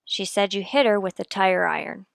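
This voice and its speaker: An actress playing a cool, persistent police detective in a radio crime drama, questioning in a clipped, deadpan, needling way. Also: female voice, medium pitch, moderate pace, creaky, no room sound, clean studio sound, close to an everyday voice. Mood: pressing, cool. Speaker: voice actor in an audio police drama.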